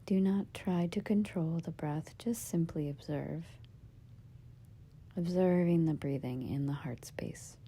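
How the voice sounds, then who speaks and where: Female meditation guide, husky half-whisper with creaky edges, slow and soothing. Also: low-pitched. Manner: gentle, soothing, measured instructions in short phrases with pauses. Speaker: yoga nidra meditation teacher.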